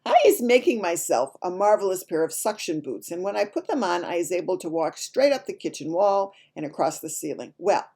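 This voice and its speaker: A woman voicing a child gleefully recounting dreams in mangled grammar, in an excited, emphatic storybook reading. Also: lowish voice, brisk, few pauses, slightly rough, pitched above the performer's own voice. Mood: smug, playful.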